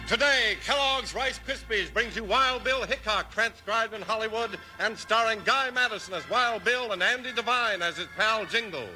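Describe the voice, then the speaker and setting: A man's voice: sits high, moderate pace, hardly any pauses, sharp tone, soft background music, rough recording. A man announcing and narrating in a scripted 1950s radio style.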